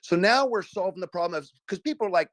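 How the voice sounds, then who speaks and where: A man's voice: high voice, animated, quick pace, no echo. A man, a guest investor giving a casual, emphatic and folksy endorsement over a video call.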